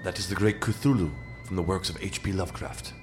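A man plays a mysterious painter from a horror radio play. The voice is on the low side, courtly and deliberate, with music clearly playing behind it. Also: steady tone, lightly acted. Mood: suave, welcoming. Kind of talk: dramatic radio play acting.

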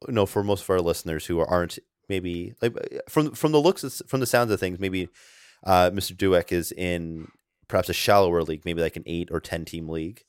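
A man's voice: low-pitched, a little fast, clean tone, dry recording, clean studio sound. A man co-hosting a casual fantasy hockey podcast, rambling with lots of fillers and restarts. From half Japanese. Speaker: podcast co-host.

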